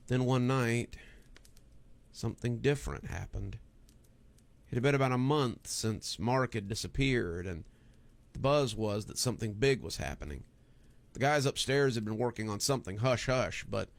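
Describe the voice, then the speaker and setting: A man reading a scripted first-person horror story as its narrator. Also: mid-range voice.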